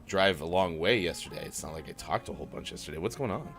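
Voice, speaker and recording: Low, slightly rough-voiced male host. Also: animated, fast talker, a bit bright, faint music behind. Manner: easygoing, self-mocking banter. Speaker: morning show host. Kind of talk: casual live-stream morning show chat.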